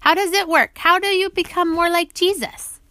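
Female author-narrator, high and bright, teacherly read-aloud of a religious children's book, prompting kids with questions, dry recording. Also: fairly even intonation, normal speed, dry recording. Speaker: author reading her audiobook aloud to her children.

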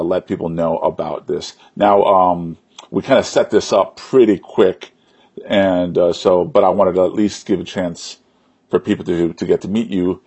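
A man with a deep, husky voice hosts a live interview. He phrases things informally and a little haltingly, in the casual style of an online show host. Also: darkish tone.

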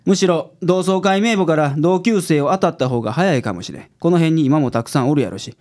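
A woman's voice: low-pitched, animated, brisk, a little mellow. A woman playing a brusque, dry male private detective in a comic radio drama, with of speech. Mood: pondering. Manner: brusque, dry, casual older-man phrasing. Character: gruff male private detective, brusque, stubborn, sneezing with hay fever.

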